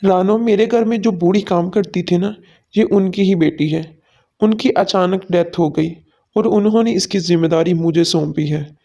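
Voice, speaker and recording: A woman voicing a mild-mannered young husband in a cartoon, calm and soothing. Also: fairly low pitch, moderate pace, dull audio. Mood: explaining.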